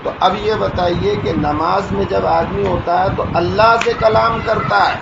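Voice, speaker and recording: Slow, gravelly male cleric, echoey noisy recording. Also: high voice, fairly even intonation, dull audio. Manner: didactic, often checks listeners understand. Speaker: Islamic cleric. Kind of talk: informal religious sermon explaining a ruling.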